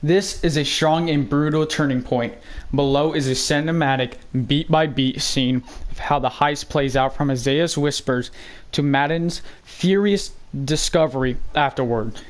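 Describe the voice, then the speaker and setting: A man's voice: on the high side, unhurried. A man reading a scene summary aloud.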